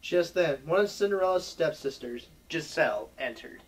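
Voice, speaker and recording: Casting: comic fairy-tale narrator, medium-pitched voice, lively swings in intonation. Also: moderate pace. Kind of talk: comic storybook stage narration.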